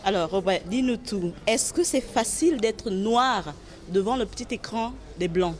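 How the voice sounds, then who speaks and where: A woman interviewer with a mid-range voice delivers prepared questions. Her speech is formal and polished, in the steady manner of a reporter presenting a segment. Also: mid-range voice, normal speed.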